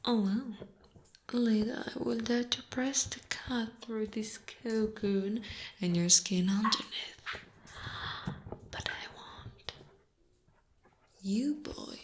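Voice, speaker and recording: Woman as a slow, whispering spider seductress. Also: mid-range voice, slight background noise. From Italy. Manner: breathy whispering, slow and deliberate. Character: giant possessive seductive female spider monster. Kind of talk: whispered ASMR roleplay.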